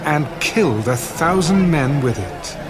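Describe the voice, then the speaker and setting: A man narrating a dramatised scripture reading in a solemn, measured and dramatic way. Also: fairly high pitch, big pitch swings, over a noisy crowd, heavy background noise. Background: music.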